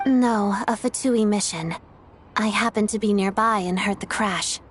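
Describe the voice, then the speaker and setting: A woman's voice: mid-range voice, a little slow, a little hiss or hum, lightly acted. A woman voicing a cool, terse young woman agent in a video game, speaking in short clipped lines. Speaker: game character. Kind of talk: game voice acting. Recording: faint game music behind.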